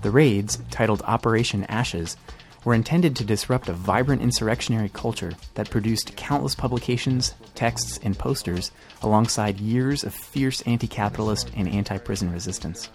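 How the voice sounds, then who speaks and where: A man co-hosting a podcast, reading scripted news and commentary in a measured, articulate way. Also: on the low side, faint music behind. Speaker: podcast co-host.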